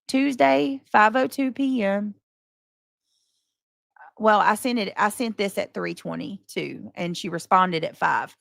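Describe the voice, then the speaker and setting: Exasperated woman with a creaky, dry-sounding voice. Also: mid-range voice, takes it slowly, dry recording. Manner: exasperated, defensive, emphatic; coarse, swearing. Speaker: livestream host addressing subscribers and moderators. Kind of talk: casual unscripted livestream monologue.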